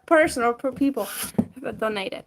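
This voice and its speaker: A woman's voice: on the high side, lively intonation, moderate pace, slight background noise. A woman in her twenties, a native Greek speaker, chatting casually and giggling on a livestream from a rural farmstead. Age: 20s. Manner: chatty and giggly, trailing off mid-sentence. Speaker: co-host of a homestead livestream. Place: rural farmstead, outdoors then indoors.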